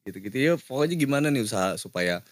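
A young man hosting a casual podcast chat, relaxed and rambling, on a desk mic in a small cafe studio. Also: medium pitch, quick pace, no room sound. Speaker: podcast host. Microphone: desk mic with headphones.

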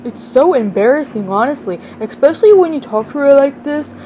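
A woman's voice: high-pitched, lively intonation, fairly quick, warm tone, a little hiss or hum. A woman playing an earnest, exasperated teenage son, pleading emphatically in an amateur game roleplay.

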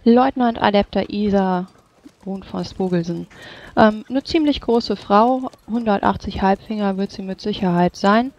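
A woman playing a tabletop role-playing game, describing her character with a creaky voice. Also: medium pitch, unhurried, darkish tone, lo-fi sound.

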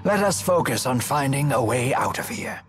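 A man voicing a courtly, wise elderly gentleman in a video game, formal, measured and precise. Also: medium pitch, animated, natural voice. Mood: composed. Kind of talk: English video game voice acting. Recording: game music behind the voice.

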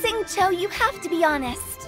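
A woman's voice: extremely high pitch, animated, moderate pace, over music. A woman voicing a playful, nosy teenage girl in a video game, teasing and curious. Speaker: game character.

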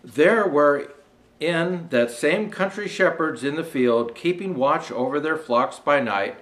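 An older man reads a children's storybook aloud as Santa the storyteller. His voice sits fairly high for a man and moves up and down with plenty of expression. He phrases each line deliberately. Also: lively intonation. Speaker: storyteller dressed as Santa Claus.

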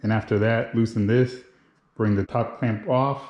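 A man's voice: lowish voice, fairly even intonation, normal speed, highs cut off. A man in his thirties giving a casual, informal step-by-step how-to commentary as he works on a build. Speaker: motorcycle builder and video host. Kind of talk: casual how-to vlog narration while working. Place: home garage with hard floor. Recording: roomy, echoing garage sound.

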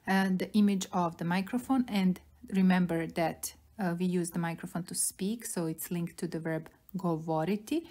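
A young woman with a Serbian accent teaching a language lesson in a deliberate, explanatory manner. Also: medium pitch, a little mellow. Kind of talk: instructional lesson narration.

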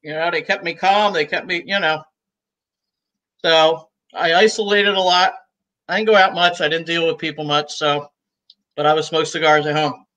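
A woman in her sixties, talking as a guest on a video call from home, chatty and easygoing and quick to laugh. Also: deep voice, steady tone, fairly bright tone, rough recording. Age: 60s. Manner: talkative and easygoing, laughs often. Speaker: guest, cigar shop owner. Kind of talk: casual interview chat. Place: room at home.

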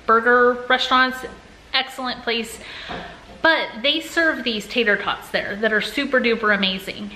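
A woman's voice: fairly high pitch, sharp tone, low-quality recording. An American woman in her forties chatting casually to viewers as a vlogger. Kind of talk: casual vlog chat. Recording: noticeable background ambience and noise.

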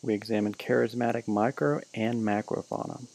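A man giving deadpan mock-documentary narration, reading out a team roll call for a class parody film. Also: on the low side, normal speed, creaky, rough recording.

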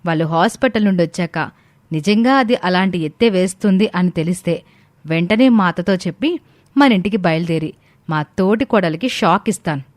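A woman's voice: fairly low pitch, a little fast, a little mellow, no room sound, close to an everyday voice. A woman playing a jealous, scheming young wife in a family story, complaining and sarcastic. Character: jealous, bitter young married woman, scheming sister-in-law.

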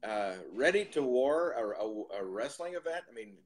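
A man's voice: mid-range voice, animated, normal speed, slight background noise. A man in his fifties hosting an interview over a video call, chatty and rambling. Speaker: host. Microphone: video call with earbud headset.

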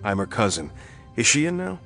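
An actor playing a tough, suspicious police detective, blunt and hard-boiled, in a pulp thriller audio drama. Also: male voice, fairly low pitch, very animated, a little fast, vocal fry, a bit bright, soft background music, exaggerated voice. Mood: businesslike.

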